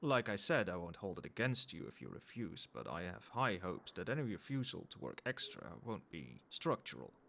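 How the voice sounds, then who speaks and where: A man playing a cold, professional company boss in an ASMR roleplay, speaking in a formal, measured, quietly demanding way. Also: sits low, very animated, gravelly, slight background noise, exaggerated voice. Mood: firm, businesslike. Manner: formal, measured, businesslike, faintly suggestive. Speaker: voice actor in an audio roleplay. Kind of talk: ASMR roleplay, one-sided dialogue to the listener.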